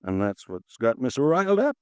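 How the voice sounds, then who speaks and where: A man's voice: medium pitch, big pitch swings, brisk, darkish tone, clear, resonant tone, dry recording, studio quality, lightly acted. An actor playing a stocky, red-faced insurance agent in his late fifties, chatty, folksy and easily riled, in a 1950s radio drama. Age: 50s. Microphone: close studio mic, dry.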